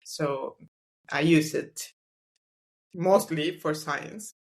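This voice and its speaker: A woman's voice: low voice, drawn-out words. A woman whose first language is Spanish, a scientist guest on a podcast, speaking English in a thoughtful, hesitant way and often trailing off. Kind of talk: casual book club discussion.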